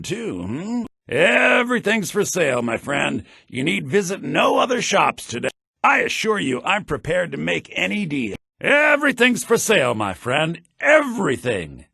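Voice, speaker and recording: A man's voice: gravelly, sharp tone, breathy edge, dry recording, clean studio sound, heavily acted. An actor playing an oily, greedy shopkeeper in a fantasy video game, with fawning, wheedling salesman patter. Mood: eager, wheedling. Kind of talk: video game character acting. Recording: lo-fi low-bitrate game audio.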